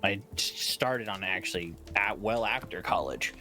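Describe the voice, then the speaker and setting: Man, lowish voice, casual co-streamer talk, music playing behind. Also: moderate pace. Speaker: guest co-streamer. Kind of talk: casual chat over a game stream.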